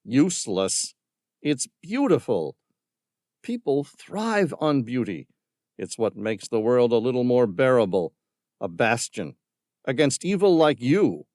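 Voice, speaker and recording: A man's voice: medium pitch, big pitch swings, slow pace, a little mellow, dry recording, pitched above the performer's own voice. A man voicing an earnest, indignant young male adventurer in an audiobook, close to his own voice.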